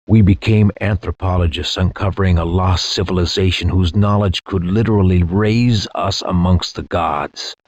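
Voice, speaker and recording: A war-weary veteran soldier narrating a video game. His voice is deep and husky, and he recounts the war gravely and reflectively. The sound is somewhat muffled. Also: a little mellow, no room sound. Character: somber veteran soldier recalling a war on Mars. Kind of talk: scripted dramatic narration.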